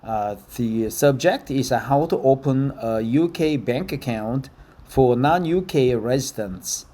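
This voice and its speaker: A man's voice: mid-range voice, animated, a little slow, warm tone. A Korean man in his fifties speaking English as a learner, with many fillers and careful non-native phrasing as he talks and then reads an article aloud. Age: 50s. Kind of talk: casual spoken practice, then slow reading aloud.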